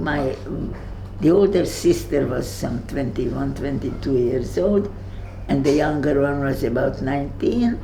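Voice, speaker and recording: An older woman with a Hungarian accent, recounting memories to young visitors, halting and searching for words. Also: deep voice, vocal fry, mellow, reverberant, a little hiss or hum, rough recording. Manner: halting, searches for words, many fillers and restarts. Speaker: Holocaust survivor speaking to visitors. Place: room in her home.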